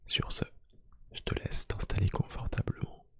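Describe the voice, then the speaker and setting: A man doing a soft-spoken ASMR roleplay, gently whispering one-to-one to the listener in a hushed, breathy voice. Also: moderate pace, dry recording. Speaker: ASMR YouTube creator. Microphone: close microphone.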